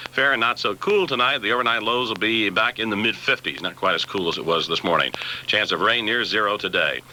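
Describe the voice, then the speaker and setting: A man's voice: on the low side, pushed and bright, rough recording. A man reading a scripted local bulletin of news, sports and weather on a 1970s AM radio station, taped off air on cassette. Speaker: radio newsreader. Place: radio studio.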